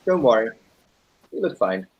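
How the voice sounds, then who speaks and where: A guarded teenage boyfriend in a dramatic reading of a novel. The voice is husky and swings freely in pitch, while the delivery stays terse and offhand. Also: male voice, big pitch swings, normal speed, dull audio. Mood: reassuring.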